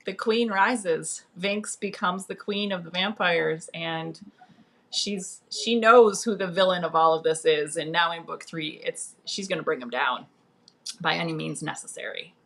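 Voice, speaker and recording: A woman's voice: on the low side, measured pace, fairly bright tone, low-quality recording. A woman speaking as a guest author in a casual livestream, playful and informal in manner. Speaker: author guest on a book live stream.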